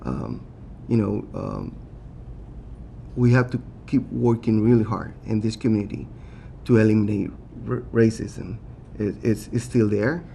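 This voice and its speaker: A man with a Latin American Spanish accent speaks in a low, gravelly, mellow voice, earnest and halting, full of fillers and restarts. He is a city council candidate answering at a forum. A faint rumble sits under the recording. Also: expressive.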